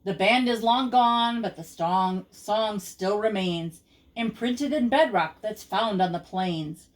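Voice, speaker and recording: An older woman reads a children's picture book in verse aloud. Her voice sits at a medium pitch for a woman. She takes her time, keeping a slow, measured rhythm that leans on the rhymes. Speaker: grandmother reading to children.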